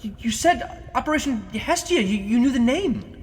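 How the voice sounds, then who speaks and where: An actor playing a hard-nosed, blustering intelligence boss and villain, demanding and exasperated, in an audio spy comedy. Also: male voice, very high voice, animated, moderate pace, long reverb tail.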